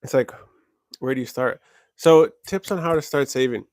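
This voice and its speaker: A man's voice: medium pitch, moderate pace, a little mellow, dry recording, studio quality. A young man from Canada hosting a livestream, answering viewer questions in a loose, rambling way full of "like" fillers and restarts. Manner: rambling, many "like" fillers and self-corrections. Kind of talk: casual livestream Q&A.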